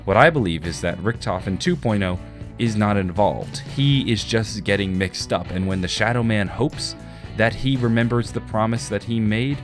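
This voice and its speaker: Male YouTube narrator, low register, emphatic scripted explainer delivery, clear guitar backing. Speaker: video game lore YouTuber. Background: guitar music.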